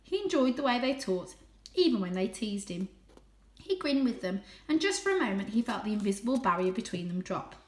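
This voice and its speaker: A woman reading a children's story aloud as expressive narration. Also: mid-range voice, brisk, echoey room.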